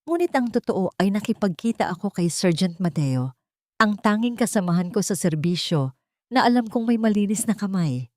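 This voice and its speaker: A woman's voice: mid-range voice, lively intonation, moderate pace, no echo, studio quality. A woman narrating a scripted first-person dramatic audiobook in a solemn, suspenseful manner.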